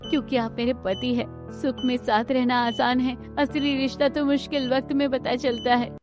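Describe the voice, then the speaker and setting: A woman voicing a devoted, gentle young wife in a cartoon, with an earnest, emotional delivery. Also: sits high, flat delivery, normal speed, lightly acted. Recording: background music under the voice.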